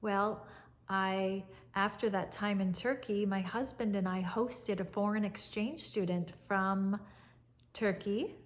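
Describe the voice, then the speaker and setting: Woman, 50s, gentle English teacher, mid-pitched voice, slow and reflective home chat. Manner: warm, soft-spoken and unhurried, with fond reflective pauses. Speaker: English teacher, channel host. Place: living room by a fireplace, indoors. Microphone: camera at chest height, seated.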